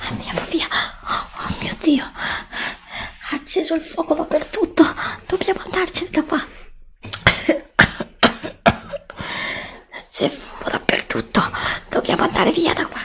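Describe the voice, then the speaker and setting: A middle-aged woman playing a frightened young woman lost in a dark forest in an ASMR roleplay, pressing her companion with anxious, pleading whispers. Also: very high-pitched, very animated, measured pace, voice pushed up, exaggerated voice. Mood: panicked. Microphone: desk condenser mic close up.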